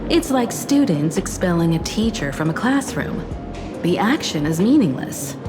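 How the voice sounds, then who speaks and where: A woman's voice: fairly low pitch, sing-song, moderate pace, over music. A woman voicing a teasing young trickster in a video game, with a playful drawl. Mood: amused.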